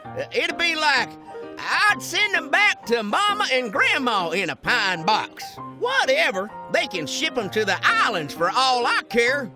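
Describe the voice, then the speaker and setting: Woman doing a high-pitched blustering cartoon deputy. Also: big pitch swings, unhurried, over music. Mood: boastful. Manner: boastful tough talk, easily muddled. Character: blustering dim-witted male Western deputy. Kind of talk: animated film voice acting.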